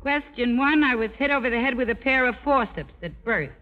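An actress playing a brash, slangy, wisecracking nurse in a 1950s radio drama. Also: female voice, a little high, expressive, brisk, natural voice. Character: brassy, wisecracking tough-hearted Brooklyn nurse. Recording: dull vintage radio broadcast.

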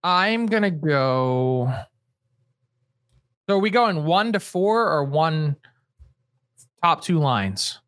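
Higher-voiced man musing on hockey picks. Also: lively intonation, slow pace, pauses often, clear, resonant tone, dry recording, studio quality. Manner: musing, thinks out loud. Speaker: podcast co-host. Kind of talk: casual sports podcast chat.

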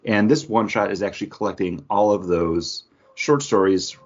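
A man co-hosting a pop-culture podcast, chatting casually in a husky voice. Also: fairly low pitch, moderate pace. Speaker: podcast co-host. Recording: dull, lo-fi podcast audio.

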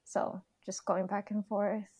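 A woman's voice: mid-range voice, little pitch movement, measured pace, a little mellow, breathy edge, dry recording, studio quality. Rambling woman with a mid-range voice. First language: Japanese. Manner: rambling, restarts, laughs at herself. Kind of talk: casual chat.

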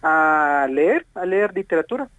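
Tzotzil-native man from Chiapas, phone guest, measured and unhurried, slightly high pitch. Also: lively intonation, fairly bright tone, no room sound, lo-fi sound. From Chiapas, Mexico. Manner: measured, uses many fillers. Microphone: telephone.

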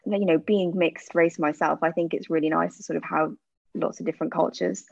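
Woman in her thirties, low voice, candid interview chat, dull lo-fi sound. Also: steady tone, fairly quick, creaky, dry recording. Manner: chatty, candid, with frequent fillers. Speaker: interview guest, aesthetic practitioner. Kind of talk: casual interview answers.

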